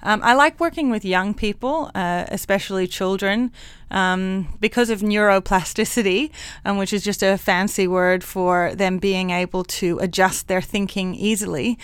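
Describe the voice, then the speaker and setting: A woman's voice: mid-range voice, normal speed, clean tone, no echo. Laughing woman psychologist, mixed accent. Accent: mixed international. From Hong Kong. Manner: warm, laughs often, frank. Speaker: clinical psychologist guest. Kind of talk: interview conversation.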